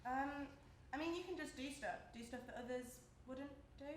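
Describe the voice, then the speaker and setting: A woman's voice: high voice, moderate pace, lightly acted. A young woman acting on stage as a guarded, dismissive co-worker with a blunt, clipped manner. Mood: guarded, curt. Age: young adult. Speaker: actor in a stage play. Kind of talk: live stage acting, naturalistic dialogue. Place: indoor stage performance space. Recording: echoey room with clear background noise.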